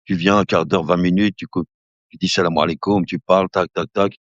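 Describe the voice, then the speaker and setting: A man's voice: sits low, a little fast, no room sound. A man in his fifties hosting a casual live stream, chatting to viewers and calling them brother, rambling and laughing easily. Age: 50s. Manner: laughing often, relaxed rambling. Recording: dull lo-fi dry sound.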